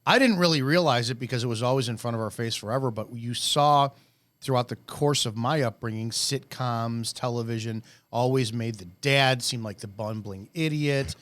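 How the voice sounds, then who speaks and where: A man in his forties hosting a podcast, asking questions and sharing his views in a lively, conversational way. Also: mid-range voice, normal speed, dry recording. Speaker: podcast host. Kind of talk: casual interview chat.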